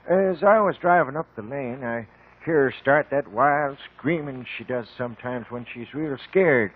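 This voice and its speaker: A man's voice: mid-range voice, moderate pace, breathy edge, close to an everyday voice. An actor playing a plain-spoken rural delivery man in a 1950s radio drama, folksy and terse with rustic grammar. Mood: laconic, matter-of-fact. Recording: thin lo-fi 1950 radio broadcast.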